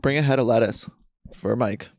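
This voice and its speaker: A man co-hosting a casual podcast from a friend's basement, bantering and joking quickly. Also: mid-range voice, darkish tone, no room sound. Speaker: podcast co-host. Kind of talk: casual chat.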